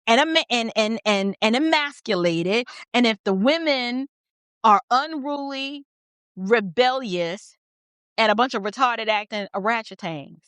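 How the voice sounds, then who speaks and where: A woman's voice: on the high side, fairly bright tone, no room sound, studio quality. An American woman giving opinionated off-the-cuff political commentary, blunt and emphatic, repeating phrases for stress.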